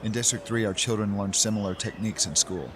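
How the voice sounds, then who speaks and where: Guy acting precise nerdy inventor type, radio drama, low-ish voice, slight background noise. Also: quick pace, a bit bright, lightly acted. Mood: matter-of-fact. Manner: precise, explanatory. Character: measured, pedantic, brainy male inventor.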